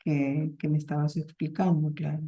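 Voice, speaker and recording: Low, mellow-voiced woman interviewer. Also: flat delivery, normal speed. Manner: frequent affirming murmurs, searching restarts. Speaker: interviewer, psychologist. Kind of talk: conversational interview.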